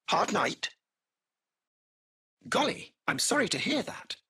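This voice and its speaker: A cheeky, urbane British AI chauffeur from a video game. His voice is bright and very husky, with some creak. He chats effusively like a polished butler, swooping through his phrases. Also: on the high side, heavily acted. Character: urbane, chatty male AI taxi driver, butler-like and cheeky.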